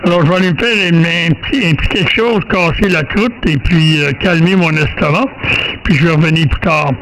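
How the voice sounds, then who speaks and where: A man's voice: fairly high pitch, fairly quick, fairly bright tone. Quebec man chatting over faintly noisy ham radio. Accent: Quebec French. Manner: hesitant, repeats words, rambling. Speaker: amateur radio operator. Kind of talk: casual radio contact chat. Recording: amateur radio recording, faint static.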